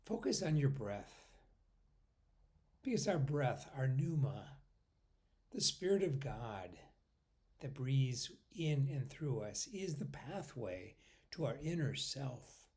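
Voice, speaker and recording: Guy leading a spiritual meditation, really slow, medium pitch that moves around a lot, pauses between bits. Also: sing-song. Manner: unhurried, pausing between short phrases, often trailing off softly. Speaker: meditation guide.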